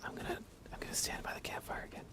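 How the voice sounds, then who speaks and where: A man whispering soft, breathy ASMR commentary while livestreaming a video game, often muttering short repeated phrases. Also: racing.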